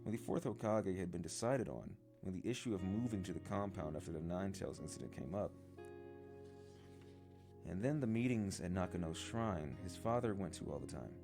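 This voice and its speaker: A man reading a novel aloud as a storyteller, expressive and shifting voices for the characters. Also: low-pitched, moderate pace. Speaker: audiobook reader. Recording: guitar music behind the voice. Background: guitar music.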